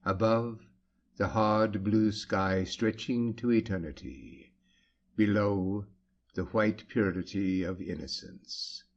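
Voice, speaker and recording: A man narrating a classic story in a measured, declamatory audiobook style. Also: on the low side, highs cut off. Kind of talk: dramatic scripted audiobook narration.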